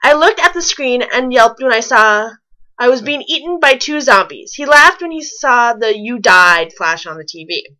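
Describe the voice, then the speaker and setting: Bright, high-voiced woman reading aloud. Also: animated, moderate pace, low-quality recording. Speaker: reader and co-commentator of fan fiction. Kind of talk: storybook-style reading mixed with casual mocking commentary.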